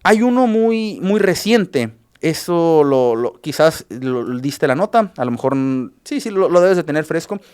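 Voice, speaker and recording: A man with a Mexican Spanish accent, a journalist recapping news cases on a radio show, halting often with filler sounds and restarts. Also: on the high side, expressive, darkish tone, smooth.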